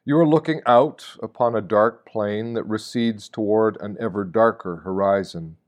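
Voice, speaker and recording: Man, lowish pitch, scripted reflective reading, measured phrasing, deliberate pauses. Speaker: reader of meditations.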